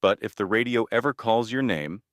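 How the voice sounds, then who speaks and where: Low-voiced man narrating horror, close and dry. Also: fairly bright tone, no echo. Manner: measured, ominous storytelling in short phrases. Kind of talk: scripted scary-story narration.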